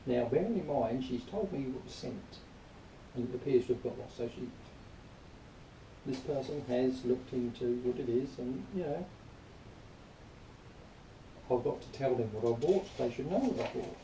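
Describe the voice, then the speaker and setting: A man giving an informal spoken review in a rambling, matter-of-fact, grumbling way, partly reading aloud, recorded quietly on a camera some distance away. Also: medium pitch, lively intonation, moderate pace, long pauses. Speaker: product reviewer. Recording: distant quiet mic, roomy echo, faint noise.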